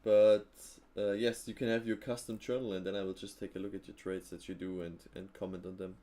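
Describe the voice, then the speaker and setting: A man's voice: low voice, flat delivery, a little fast, warm tone. A man hosting a live trading stream, chatting casually with viewers and thinking aloud with fillers and easy laughs.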